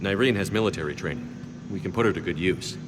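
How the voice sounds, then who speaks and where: A man plays a steady, terse military hero in a video game. His voice is deep and quick, with long reverb and faint noise around it. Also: natural voice. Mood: firm, businesslike. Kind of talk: cinematic video game acting.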